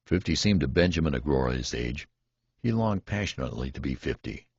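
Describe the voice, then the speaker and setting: Very deep, gravelly male storyteller. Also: lively intonation, a little fast, dry recording, dull audio. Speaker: audiobook reader. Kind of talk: scripted literary narration.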